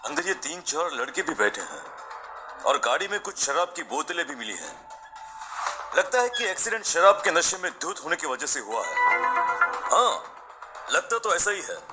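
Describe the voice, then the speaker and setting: Man playing an animated, high-voiced policeman. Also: moderate pace, pauses often, over loud music. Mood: puzzled. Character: earnest policemen investigating deaths. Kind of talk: cartoon story dialogue.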